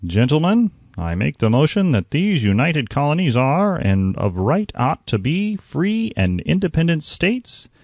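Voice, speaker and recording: A man's voice: a little high, sing-song, unhurried, hardly any pauses, a little mellow, no echo, voice pushed up, full character voice. A man voicing an 18th-century statesman making a formal, fervent speech in an audiobook. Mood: solemn, resolute.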